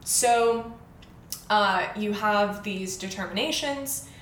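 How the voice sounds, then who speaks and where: A woman's voice: mid-range voice, slow pace, a bit bright. A young woman co-hosting a podcast, explaining planning rules in a chatty, filler-heavy way. Her voice sits in a roomy, echoing space with a faint hiss of ambience underneath. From Southern California. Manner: chatty, fillers like "like" and "you know". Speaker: podcast co-host. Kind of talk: casual explanatory chat.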